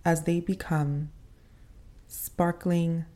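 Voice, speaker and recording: A woman leading a guided meditation in a soothing, unhurried manner, pausing between short phrases. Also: on the low side, level tone, mellow.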